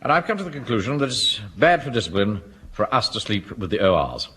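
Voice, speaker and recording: An actor playing a pompous, officious middle-aged English Home Guard captain in a broadcast sitcom. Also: male voice, on the low side, very animated, a little fast, fairly bright tone. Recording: noisy old radio comedy recording.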